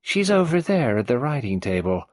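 A man's voice: medium pitch, very animated, normal speed, darkish tone, no room sound, clean studio sound, voice pushed up, lightly acted. A man doing a malicious wheezing old matriarch. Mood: taunting. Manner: venomous, taunting, wheezing. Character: malicious old domineering mother, woman.

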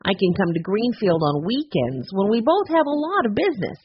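A woman's voice: medium pitch, sing-song, fairly quick, slightly rough, muffled recording, pitched above the performer's own voice. A woman voicing a flamboyant ice cream truck owner in an audiobook, with a gushing, theatrical manner.

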